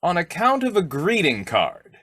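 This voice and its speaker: A man playing a blustering, excitable, foolish but affectionate husband in a folksy old-time radio comedy. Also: sits high, measured pace, no echo, studio quality, full character voice. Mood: amused curiosity. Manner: folksy exclamations, swooping emphasis.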